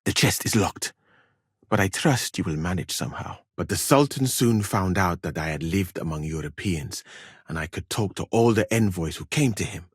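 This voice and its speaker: An actor playing a learned, well-travelled West African physician in a video game, speaking formally and precisely with dry wit and a husky voice. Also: male voice, low voice, lively intonation, no room sound. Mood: calm, explanatory. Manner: formal, measured, precise diction with dry irony. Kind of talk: video game character dialogue.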